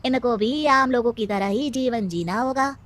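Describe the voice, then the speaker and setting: A woman's voice: a little high, normal speed, fairly bright tone, no echo, lightly acted. A woman voicing a sly, cunning male adviser in a cartoon story, with an oily, drawling delivery. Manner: oily, drawling, chuckling slyly.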